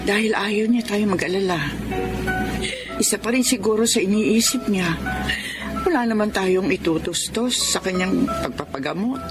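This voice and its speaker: A woman's voice: medium pitch, normal speed, close to an everyday voice. A woman acting a sorrowful aunt in a Filipino radio drama, speaking solemnly and consolingly. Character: sympathetic, sorrowful aunt consoling bereaved children. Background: music.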